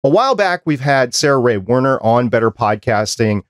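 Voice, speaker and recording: A man's voice: medium pitch, animated, normal speed, no room sound. A man in his fifties hosting a conversational podcast interview into a close desk microphone. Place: small home office, indoors. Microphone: close desk microphone on a video call.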